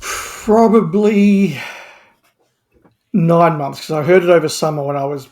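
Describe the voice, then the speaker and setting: A man's voice: a little high, measured pace, a little mellow. A man chatting casually as a guest on a podcast. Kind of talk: casual chat.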